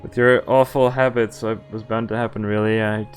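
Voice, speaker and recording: A man's voice: lowish voice, level tone, a little fast, clean tone. Man reading a visual novel aloud, music behind. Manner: dramatic read-aloud broken by incredulous asides. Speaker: game streamer. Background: game music.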